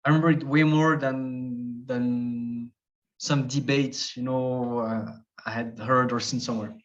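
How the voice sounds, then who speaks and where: A man's voice: mid-range voice, fairly even intonation, measured pace. A man from Switzerland, a travel video creator, talking through his ideas in a casual interview, thoughtful and hesitant, with many fillers and restarts. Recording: dull lo-fi podcast audio.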